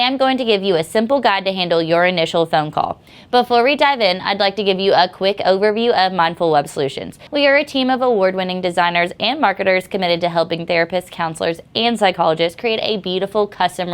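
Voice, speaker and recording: A young adult woman hosting a channel, presenting scripted, upbeat instructional tips in a clear voice. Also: on the low side. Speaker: host of a business tips channel.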